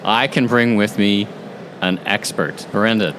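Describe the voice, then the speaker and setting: A man's voice: on the low side, fairly even intonation, measured pace. A man hosting a podcast interview, eagerly prompting his guest with questions. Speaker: podcast host. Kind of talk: interview at a trade show. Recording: noisy trade show floor.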